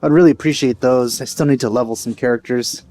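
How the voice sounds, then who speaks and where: Guy doing a lets play, offhand and dry, mid pitch, faint game music behind him. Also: steady tone, fast, warm tone. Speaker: let's play host. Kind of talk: casual gameplay commentary.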